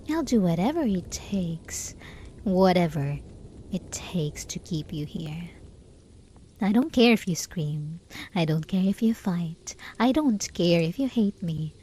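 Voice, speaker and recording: A woman playing a lovesick, possessive, desperate hermit spellcaster in a soft, intimate ASMR roleplay, often slipping into whispers. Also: mid-range voice, a little hiss or hum. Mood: intense whispering. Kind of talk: ASMR roleplay, scripted dramatic monologue.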